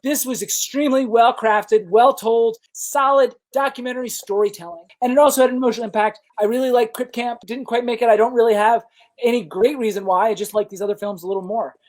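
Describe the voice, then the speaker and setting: A man's voice: very high voice, steady tone. A man hosting a casual film review podcast, leading the discussion and moving it along. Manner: brisk, leads the discussion. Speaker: podcast host.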